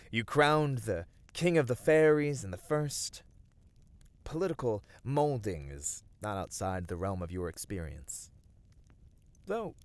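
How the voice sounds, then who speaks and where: Guy playing a caring fantasy companion for asmr rp, really unhurried, soothing n earnest, kinda formal wording. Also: fairly low pitch. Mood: gently probing. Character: gentle, caring male companion of a fantasy hero. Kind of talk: scripted ASMR roleplay.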